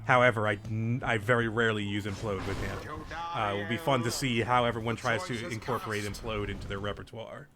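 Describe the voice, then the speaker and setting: A man's voice: lowish voice, a little fast, crowd noise. A man commentating a video game with friends, explaining strategy with frequent restarts. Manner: analytical, hesitant restarts, bursts of excitement. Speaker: let's play commentator. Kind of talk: casual gameplay commentary.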